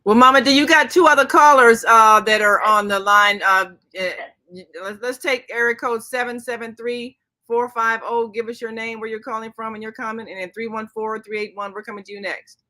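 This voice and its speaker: A woman hosting a call-in talk show, brisk and businesslike as she keeps things moving and takes callers. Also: on the high side, steady tone, sharp tone. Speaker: show host.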